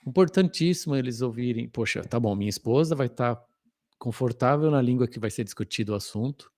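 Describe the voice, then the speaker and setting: Man, podcast co-host; mid-pitched, relaxed anecdotal delivery. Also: a little fast, no echo, studio quality. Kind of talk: conversational storytelling.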